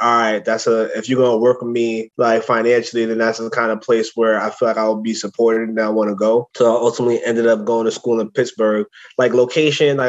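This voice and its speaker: Flat-toned young New man, lo-fi. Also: mid-range voice. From the Bronx, New York. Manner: relaxed, frequent "like" fillers. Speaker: guest. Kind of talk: casual interview chat.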